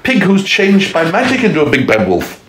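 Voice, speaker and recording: A man voicing a lonely little male pig who was turned into a wolf, in a high, pleading voice. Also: wildly swooping pitch, moderate pace, fairly bright tone, slight background noise. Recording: echoey room, faint clinking dishes.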